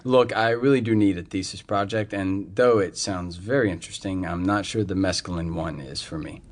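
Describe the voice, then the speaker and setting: An actor playing a polite, cautious young university student in a radio play, speaking in a hesitant, earnest and deferential way. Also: male voice, low-pitched, moderate pace, lightly acted. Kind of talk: radio theatre dialogue.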